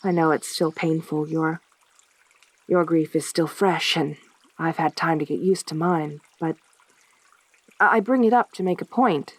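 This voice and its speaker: A woman playing a lonely, possessive centaur girl in a soft-spoken audio roleplay, with a halting, stammering delivery. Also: low voice, dry recording. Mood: sombre, tender.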